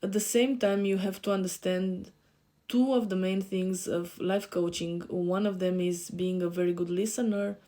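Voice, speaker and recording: A woman in her thirties from Romania with a Romanian accent, introducing herself to camera as a podcast host and life coach, pausing often with fillers and restarts. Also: mid-range voice, fairly even intonation, dark tone. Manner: hesitant, many fillers and restarts. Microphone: facing camera.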